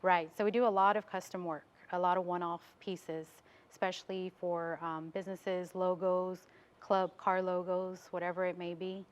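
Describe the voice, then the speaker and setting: Slightly dull audio carries an American woman with a lowish, very even voice. She explains her work casually, as a guest in a studio interview. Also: moderate pace. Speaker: interview guest, business owner.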